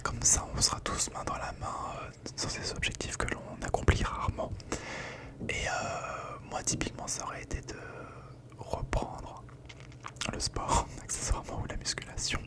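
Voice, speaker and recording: A young man whispering a rambling ASMR chat from home, slangy and full of fillers, close to the microphone. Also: moderate pace. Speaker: ASMR creator.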